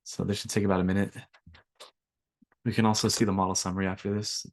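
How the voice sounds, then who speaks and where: A young man, slightly raspy from allergies, walking through a coding workshop in a relaxed way with lots of ums. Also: low-pitched, fast talker, no echo. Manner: relaxed, filler-heavy explaining. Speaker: workshop instructor. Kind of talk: screen-share coding tutorial.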